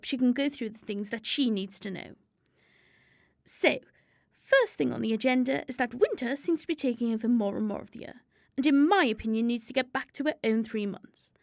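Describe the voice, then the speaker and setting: A woman playing a gentle spirit of springtime from a radio play. Her voice is high and swoops expressively, recorded dry and close. She is orderly and prim, quick to correct others. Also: vocal fry, no echo, exaggerated voice. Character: Spring, gentle, orderly female season spirit chairing a meeting. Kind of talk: radio drama acting.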